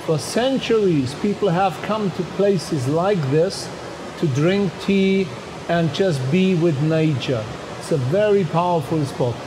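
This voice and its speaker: A man in his fifties with a German accent, talking calmly and reflectively about tea in a documentary. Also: high-pitched, noisy background. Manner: calm, unhurried, reflective. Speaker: tea master. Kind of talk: documentary narration and on-location talk.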